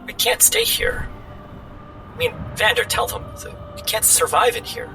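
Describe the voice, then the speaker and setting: A man's voice: sits high, wildly swooping pitch, unhurried, gravelly, distant mic, music in the background, exaggerated voice, poor audio. Man acting an insistent, anxious survivor. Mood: urgent. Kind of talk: improvised tabletop roleplay.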